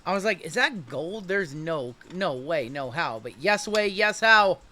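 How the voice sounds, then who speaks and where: A man in his late thirties runs a live card break stream, talking in a loose, chatty way as he reads off players and answers viewers. His voice sits a little high and has a bright, forceful edge. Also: expressive, unhurried. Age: 30s. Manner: chatty, rattles off player names and chats with viewers.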